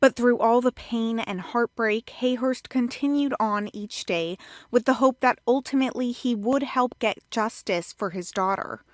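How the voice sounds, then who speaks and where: Higher-voiced American woman reporting the news. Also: moderate pace, no room sound. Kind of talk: scripted news narration.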